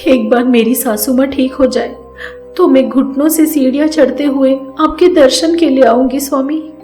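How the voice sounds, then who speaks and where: A woman's voice: high voice, normal speed, warm tone, pitched above the performer's own voice, natural voice. A woman voicing a meek young daughter-in-law who pleads and cries, in an echoey room with music. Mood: praying. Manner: pleading, crying.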